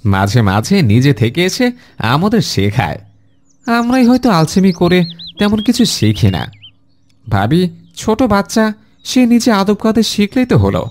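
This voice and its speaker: A woman's voice: fairly low pitch, sing-song, unhurried, pauses often, darkish tone. Woman acting a swooping, easygoing young parent. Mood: sheepish, defensive. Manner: casual, deferential. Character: easygoing, deferential young parent, excuse-making in-law. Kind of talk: Islamic moral cartoon dialogue. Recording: background noise with chirping birds.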